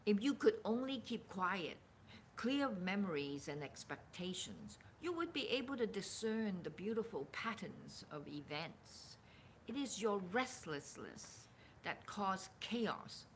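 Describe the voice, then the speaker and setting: A woman informally reading a spiritual dialogue aloud as a teacher, with a deliberate, expressive and at times halting delivery. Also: medium pitch, rough recording. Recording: background room noise.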